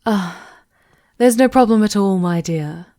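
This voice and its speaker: Woman as scornful royal advisor, slightly deep voice, slow delivery, formal, ominous, echoey recording, fantasy ASMR drama. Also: dark tone, natural voice. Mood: flustered. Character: bitter, scornful female castle advisor and sorceress. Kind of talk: ASMR fantasy roleplay acting. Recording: echoey, reverberant room sound.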